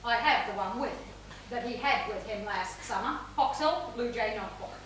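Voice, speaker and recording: Imperious, possessive mother of mature years played by an actress; sharp, insistent stage delivery, picked up from afar in a boomy hall. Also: brisk, natural voice. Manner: insistent, declamatory. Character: possessive, imperious older mother, bitterly devoted to her son. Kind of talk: amateur stage play acting. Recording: echoey theatre stage, distant mic, noise.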